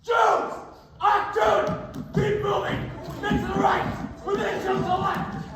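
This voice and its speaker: A man's voice: very high voice, flat delivery, measured pace, far from the mic, a crowd in the background. A man acting a harsh Nazi guard who barks orders in a stage play, in an echoey, noisy hall. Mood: menacing. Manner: shouted orders.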